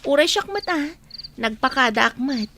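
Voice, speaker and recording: A high, girlish-pitched Ilocano village visitor, polite and agreeable. It is radio drama acting, with noticeable insect noise behind the voice. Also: lively intonation, moderate pace. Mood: content, agreeable. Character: appreciative younger female guest visiting the village.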